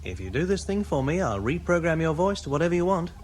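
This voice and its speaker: Scheming, animated male officer. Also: on the high side, fast, heavy background noise. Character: scheming, wheedling male spaceship officer out for favour. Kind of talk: 1980s radio science fiction acting.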